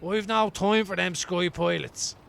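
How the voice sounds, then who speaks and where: An actor in a radio play playing a weary, wry homeless man from Dublin, rambling colloquially in a gravelly voice. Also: high voice, steady tone, a little slow, a bit bright, a little hiss or hum, heavily acted. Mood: wry, candid. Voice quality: gravelly, creaky. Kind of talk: radio play acting as an interviewee.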